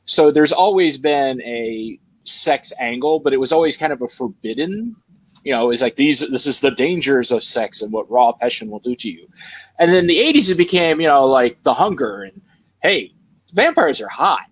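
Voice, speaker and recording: A man's voice: mid-range voice, expressive, moderate pace, fairly bright tone, breathy edge, no echo, poor audio. Male panel host, mid-range voice, informal discussion style, very dry recording.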